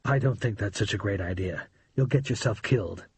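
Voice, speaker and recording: A man voicing a wary young male thief on the run, speaking low and tersely in a storybook. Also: a little fast, breathy edge.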